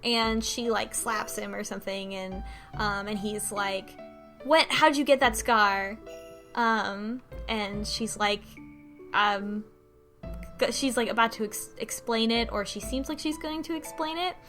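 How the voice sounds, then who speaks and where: Higher-voiced woman in casual recap chat. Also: unhurried, soft background music. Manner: animated retelling with mock quotes. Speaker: podcast co-host recapping a game.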